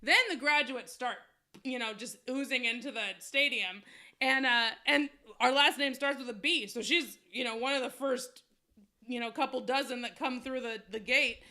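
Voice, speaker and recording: A woman's voice: high voice, normal speed, sharp tone. A woman in her thirties, a podcast co-host, telling a story in casual chat, laughing often.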